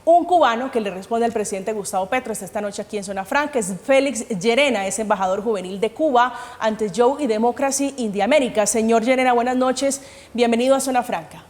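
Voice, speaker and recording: A woman's voice: fairly high pitch, a little fast, a little mellow. A woman formally moderating a televised debate as its host. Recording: long hall-like reverb.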